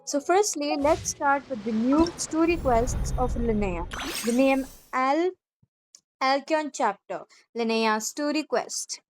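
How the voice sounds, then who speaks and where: A woman's voice: high-pitched, expressive, takes it slowly. A woman hosting a gaming video, talking informally and directly to viewers in casual commentary. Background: faint game music.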